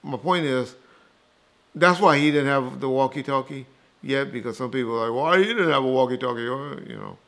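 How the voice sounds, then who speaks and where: A man's voice: medium pitch, fairly quick, vocal fry, a bit bright. A man talking casually and bluntly as an interview guest, dismissive and emphatic, swearing freely and repeating himself to drive points home. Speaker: interview guest rebutting rumors. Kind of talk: casual, profane opinionated talk.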